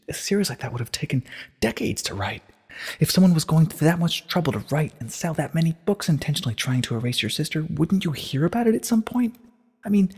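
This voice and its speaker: Man voicing an anxious, higher-pitched young pony princess. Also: vocal fry, darkish tone, slightly rough, long reverb tail, full character voice. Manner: urgent, questioning, stammering at times. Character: anxious, earnest young female pony princess, bookish and insistent. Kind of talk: dramatic reading of a short story.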